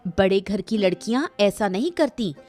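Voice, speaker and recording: A woman's voice: mid-range voice, lively intonation, normal speed, a little mellow, faint music behind, natural voice. Proud, disapproving village wife acted by a woman. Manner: sarcastic, disapproving, lecturing. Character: proud, status-minded, scornful middle-aged village wife. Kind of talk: Hindi cartoon moral story dialogue.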